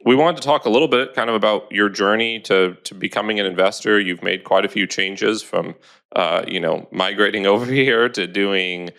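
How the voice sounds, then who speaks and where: A man co-hosts a podcast in a casual, conversational way. His voice sits very low, with a gravelly fry and a bright, forceful edge. He keeps checking in with "right?" as he talks. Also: moderate pace. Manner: casual, tags sentences with "right?". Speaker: podcast co-host.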